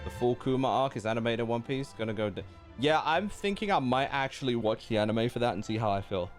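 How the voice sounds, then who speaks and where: Dude reacting to a game on stream, chatty and slangy, really animated intonation. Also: male voice, medium pitch, fast. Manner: informal, slangy, chatting to viewers. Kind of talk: casual live stream reaction commentary. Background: game music.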